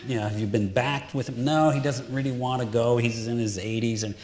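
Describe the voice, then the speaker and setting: A man's voice: mid-range voice, fairly even intonation, a little fast, a little mellow. A man, a film director, answering questions on stage in an easygoing, joking manner. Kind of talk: Q&A panel answers. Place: auditorium stage. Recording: echoey hall with faint noise.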